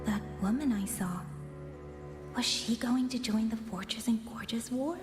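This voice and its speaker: Actress playing young game heroine, fairly high voice, gentle, polite, inquisitive, clear music behind. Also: female voice, a little slow, lightly acted. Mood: earnest. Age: young adult. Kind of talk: scripted game dialogue.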